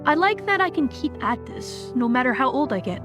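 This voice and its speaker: A woman playing a frank, supportive idol friend in an animated drama. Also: sits high, brisk. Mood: easygoing, reflective. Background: music.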